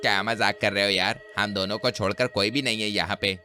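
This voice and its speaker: A man playing a short, cheeky young city man in an animated horror-comedy story. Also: on the low side, fairly quick, faint music behind. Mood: sceptical.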